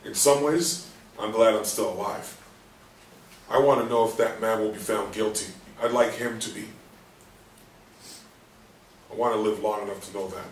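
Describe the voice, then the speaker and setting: A bitter, despairing male patient trapped in his own body, acted by a man at a play reading. His low voice broods and questions with coarse, sardonic words. It is caught from a distance in a roomy space. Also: steady tone, moderate pace, long pauses, close to an everyday voice. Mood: bitter, brooding. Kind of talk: staged play reading, inner monologue. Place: live stage reading in a theatre room. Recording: roomy stage, distant mic, faint noise.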